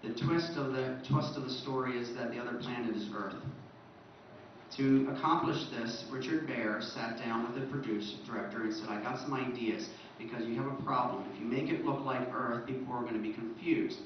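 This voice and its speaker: A man's voice: mid-range voice, brisk. Echoey, distant man giving a presentation. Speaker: presenter at a fan convention. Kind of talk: informal illustrated lecture. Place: large echoey presentation room. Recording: echoey room, distant muffled mic, faint noise.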